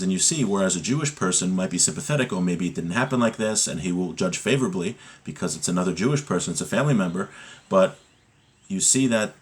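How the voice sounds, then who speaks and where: A man's voice: deep voice, husky. A man giving a religious lesson as a teacher, explaining a text and often posing rhetorical questions to check understanding.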